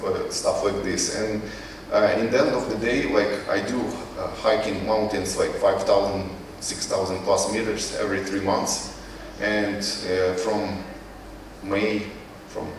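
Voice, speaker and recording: A man with a Ukrainian accent gives an informal conference presentation in non-native English, haltingly searching for words. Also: lowish voice. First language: not English. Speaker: conference speaker, company CEO. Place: conference hall. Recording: echoey hall, distant mic, faint noise.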